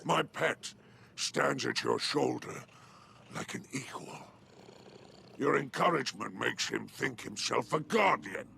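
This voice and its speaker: An actor playing a sly, grandiose alien crime lord in a video game, with a growling voice and a theatrical, silkily condescending manner. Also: male voice, medium pitch, animated, slow pace, pushed and bright, slight background noise, heavily acted. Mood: menacing, possessive. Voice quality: gravelly, growling. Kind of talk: dramatic video game cutscene acting.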